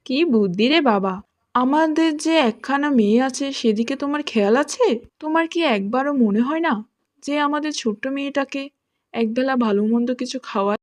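A woman's voice: high-pitched, moderate pace, natural voice. A woman playing a long-suffering farmer's wife and worried mother in a cartoon fairy tale, emotional and reproachful. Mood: scolding.

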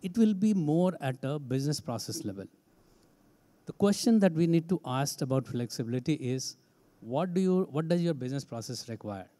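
A man's voice: on the high side, expressive, normal speed, mellow. Emphatic Indian man on a panel. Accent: Indian English. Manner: emphatic, repetitive phrasing. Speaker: HR head, panelist. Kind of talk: panel discussion answer. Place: large conference stage. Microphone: handheld mic.

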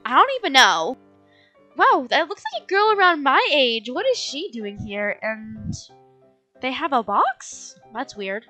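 A woman's voice: high voice, sing-song, a little slow, pushed and bright, full character voice. A woman voicing an exasperated young schoolgirl, whiny and incredulous, in an amateur gaming roleplay. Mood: puzzled. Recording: background music under the voice.

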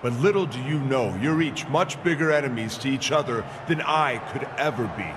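An actor playing a smug, scheming wrestling villain in authority, taunting and deliberate in his delivery. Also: mid-range voice, background noise, natural voice. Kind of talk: wrestling promo acting.

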